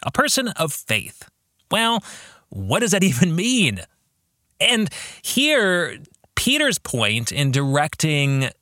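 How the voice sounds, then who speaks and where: A man's voice: on the high side, bright, forceful tone, dry recording, studio quality. An American man hosting a podcast, talking earnestly and reflectively to listeners, with emphasis and probing questions. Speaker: podcast host and author leading a book club.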